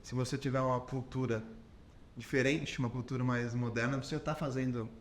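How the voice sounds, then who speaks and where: A man's voice: medium pitch, fairly even intonation, brisk, a little hiss or hum. A Brazilian man hosting a podcast interview who lays out his views and checks in with his guest as he goes. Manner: explanatory, ends points with "entendeu?". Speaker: podcast host.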